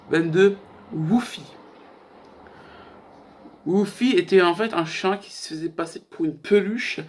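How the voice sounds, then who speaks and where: Young guy doing a youtube list, voice a bit high, jokes around, slangy and rambly. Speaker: YouTube host. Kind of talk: casual chat to camera, countdown list.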